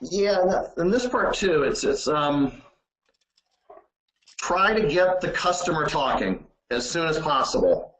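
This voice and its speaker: A man giving informal sales training in a halting, conversational way, often saying "you know". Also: on the high side, animated, a bit bright. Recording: roomy, echoey sound.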